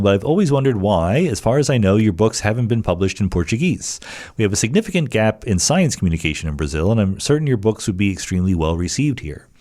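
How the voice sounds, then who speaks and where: A man's voice: on the low side, darkish tone. A middle-aged man hosting a podcast, answering listener questions in a conversational way with frequent fillers and restarts. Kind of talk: solo Q&A podcast, thinking aloud.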